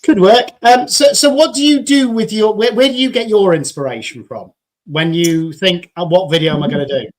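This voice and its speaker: A man's voice: high voice, moderate pace. Chatty English man hosting. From England. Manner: chatty, enthusiastic, repeats words when starting. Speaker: host. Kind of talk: casual chat.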